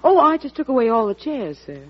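An actress playing an eager, loyal presidential secretary in an old radio drama, chirpy and deferential. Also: female voice, sits high, sing-song, a little fast. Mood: cheerful. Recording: muffled vintage radio recording.

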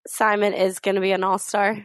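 A woman's voice: mid-range voice, level tone, a little fast, no room sound. A young woman co-hosting a podcast, teasing and laughing often, while she has a cold. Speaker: podcast co-host. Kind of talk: casual podcast chat.

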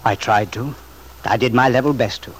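An actor playing an earnest, reproachful middle-aged uncle in an old-time radio soap, speaking in a grave, moralizing, deliberate way. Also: male voice, mid-range voice, slightly rough, natural voice. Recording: noisy vintage radio drama recording.

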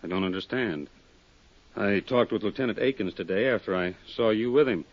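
A man's voice: lowish voice, a little fast, dry recording. An American actor playing a big, evasive man cornered for embezzlement and murder in a 1950s radio drama, hesitant and defensive. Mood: uneasy, feigned calm. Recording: dull lo-fi 1950s radio recording.

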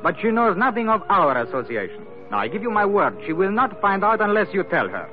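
An actor playing a suave, courteous villain with formal, hesitant politeness in an old radio drama. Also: male voice, high-pitched, sing-song, a little fast, faint music behind. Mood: smooth, persuasive. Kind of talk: 1940s radio acting. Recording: tinny, noisy old radio recording.

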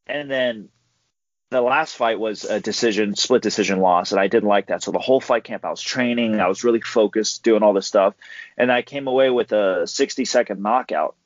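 A man's voice: fairly low pitch, moderate pace, no room sound. Laid-back young man, dry dull audio. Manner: laid-back storyteller who laughs easily. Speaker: guest, pro MMA fighter. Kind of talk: casual podcast interview.

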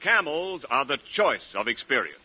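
A man's voice: medium pitch, big pitch swings, moderate pace, over loud music. A man working as a 1940s radio announcer, delivering scripted advertising with polished, emphatic salesmanship. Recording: dull 1940s radio recording.

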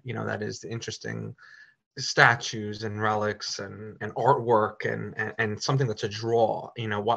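A man with a bright, forceful voice who talks in a loose, rambling way, full of "you know" and restarts. The audio is a bit muffled and dull. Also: fairly low pitch, gravelly, breathy edge. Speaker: Italian teacher and parish community member. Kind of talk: casual podcast conversation.

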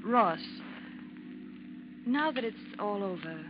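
A woman's voice: medium pitch, expressive, slow pace. An actress playing an intense, secretly yearning, scheming woman in old-time radio melodrama, earnest and emotionally charged. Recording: muffled, noisy old radio recording.

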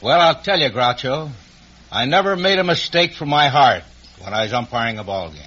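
A man's voice: mid-range voice, lively intonation, a little fast, bright, forceful tone. Plain-spoken American man, muffled old radio. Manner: plain-spoken, matter-of-fact. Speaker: baseball umpire, show contestant. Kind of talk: spontaneous interview answers. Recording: muffled old radio broadcast, clear noise.

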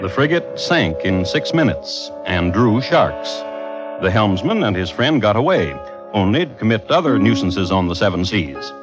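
Low-voiced, deadpan old-time radio crime host. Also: male voice. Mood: solemn, wry. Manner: dry, deadpan, measured. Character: wry, genteel male connoisseur of crime, storyteller. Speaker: host and narrator. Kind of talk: 1950s radio scripted narration. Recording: muffled old radio recording, music behind.

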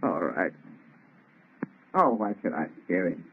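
Arrogant mad scientist, husky grandiose declaiming, wide melodramatic swings, tinny old radio sound. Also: male voice, low-pitched, big pitch swings, takes it slowly, full character voice. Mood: brooding, anguished. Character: arrogant, feverishly obsessed scientist creator of a monster. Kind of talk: 1930s radio melodrama acting. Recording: tinny, band-limited old radio recording.

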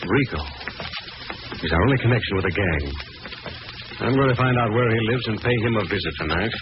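An actor playing a calm, resolute gentleman detective in a 1940s style radio drama. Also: fairly low pitch, a little fast, natural voice. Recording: muffled, noisy old radio recording.

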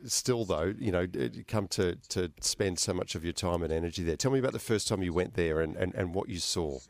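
A man with a very deep voice hosts an interview, asking loose conversational questions full of small restarts. The sound is dry and close, with no room around it. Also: brisk, no echo. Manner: conversational, stumbling restarts with many uh fillers. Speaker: radio interview host. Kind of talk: interview hosting.

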